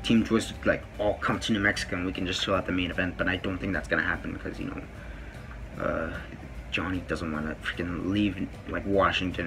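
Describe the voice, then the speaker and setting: A teenage boy with a deep voice, rambling casually to his phone camera in a small bedroom, with lots of fillers. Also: bright, forceful tone, a little hiss or hum. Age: teens. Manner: rambling, many fillers and "freaking". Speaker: vlogger. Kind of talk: casual vlog talk to camera. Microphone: phone camera at close range.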